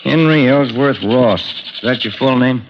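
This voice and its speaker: A man playing a plain-spoken, steady American police detective, terse and businesslike, in 1950s radio drama style. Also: mid-range voice, moderate pace, natural voice. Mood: matter-of-fact. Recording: muffled, reverberant, noisy old radio recording.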